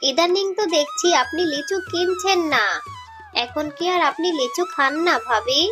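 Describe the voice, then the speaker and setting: A woman's voice: high voice, moderate pace, music in the background. A woman voicing a poor, plaintive, scolding fox mother in a children's cartoon. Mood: calling, chatty. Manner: plaintive, expressive.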